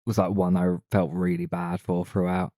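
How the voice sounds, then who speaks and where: A man's voice: sits low, little pitch movement, normal speed, mellow, no room sound, studio quality. A man co-hosting a film podcast, talking casually about movies.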